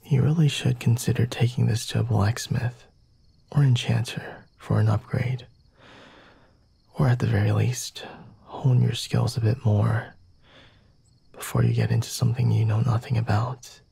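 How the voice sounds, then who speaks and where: A man voicing a flirty, condescending vampire in an ASMR roleplay, speaking deliberately in a husky half-whisper with sighs and hums. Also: medium pitch, steady tone, dark tone, no room sound, full character voice. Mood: condescending concern. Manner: slow, deliberate, formal wording with sighs and hums. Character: flirty, condescending, merciful male vampire, self-assured and teasing.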